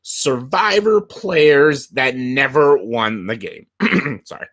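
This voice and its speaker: A young man hosting a casual list video, a little hoarse from losing his voice, rambling with many fillers and restarts. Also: mid-range voice, expressive, slow, a bit bright. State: slightly hoarse.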